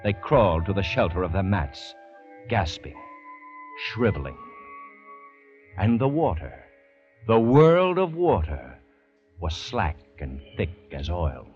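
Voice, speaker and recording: A man narrating an old-time radio drama in a solemn, portentous style with deliberate pauses. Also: deep voice, big pitch swings. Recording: dull vintage radio recording. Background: loud brass orchestral music.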